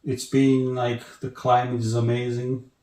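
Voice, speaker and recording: A man with a Bulgarian accent talks casually as a guest, at a mid pitch, often restarting and filling his sentences with "like". The room around him rings with echo. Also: monotone, mellow. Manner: halting, restarts, many "like" fillers. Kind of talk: casual interview chat.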